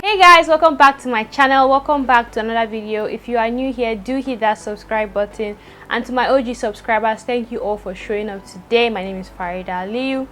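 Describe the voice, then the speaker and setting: Fast-talking young woman who stutters. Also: on the high side, pushed and bright, soft background music. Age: young adult. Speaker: vlogger. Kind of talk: personal vlog talk to camera. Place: indoors at home, rain outside.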